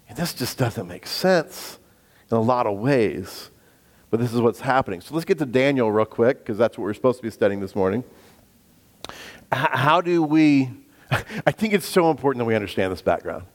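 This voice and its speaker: A man preaching a sermon in a deep bass voice, earnest, slowing down to stress his points and acting out the voices of biblical figures. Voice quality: bass voice at the moment. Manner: acts out the voices of biblical figures when quoting them. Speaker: pastor. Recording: long reverb of a hall.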